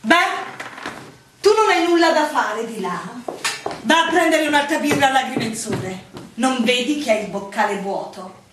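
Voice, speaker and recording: Bossy, animated innkeeping woman. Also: high-pitched. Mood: bossy, brisk. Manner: brisk, ordering, scolding. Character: bossy woman running a village inn, brusque and fussing. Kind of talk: stage-style Italian radio drama. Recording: echoey room, distant mic, faint noise, clicks.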